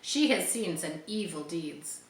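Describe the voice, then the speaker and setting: A woman voicing a seasoned male pirate cook who spins boastful yarns in an expressive storybook reading. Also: fairly low pitch, measured pace, recorded from a distance, voice pushed down. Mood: storytelling. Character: Long John, seasoned one-legged pirate ship's cook, boastful storyteller. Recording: roomy echo, faint background noise.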